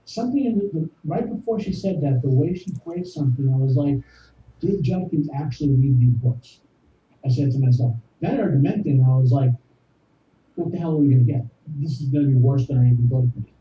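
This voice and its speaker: A man's voice: mid-range voice, animated, a little fast. A man in casual opinionated chat, speaking bluntly and with exasperation. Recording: distant, muffled, echoey, slightly noisy clip.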